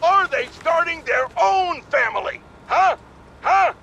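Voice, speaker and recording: Blustering, high-pitched radio pundit. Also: male voice, lively intonation, takes it slowly, vocal fry, sharp tone, highs cut off, a little hiss or hum, heavily acted. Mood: scornful, indignant. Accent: American. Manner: blustering, accusatory. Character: ranting indignant older male radio pundit. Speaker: radio host. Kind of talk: opinionated broadcast rant.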